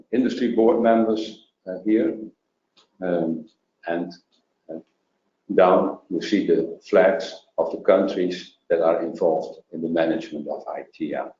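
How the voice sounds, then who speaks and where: A man presenting a programme in a webinar, halting with many fillers and restarts. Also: sits low, dark tone. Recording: roomy, dull lo-fi sound.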